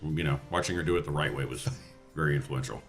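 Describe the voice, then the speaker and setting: A man's voice: rumbling low, fast talker, soft background music. A man chatting casually as a player on a tabletop roleplaying podcast. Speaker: player on an actual-play podcast. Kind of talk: casual tabletop game chat.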